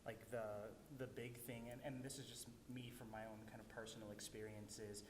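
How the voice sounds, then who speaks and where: A man's voice: level tone, moderate pace. A man of twenty-seven, a university staff coordinator, talking hesitantly on a panel with many restarts. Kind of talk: casual panel discussion. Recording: echoey room, long reverb, rumble.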